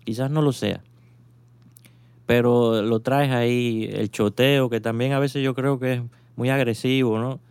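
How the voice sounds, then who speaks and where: A man's voice: medium pitch, steady tone, unhurried, darkish tone, clear, resonant tone, no room sound. A man hosting a radio chat, thinking aloud with many fillers and tag questions. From Cuba. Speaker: radio show host.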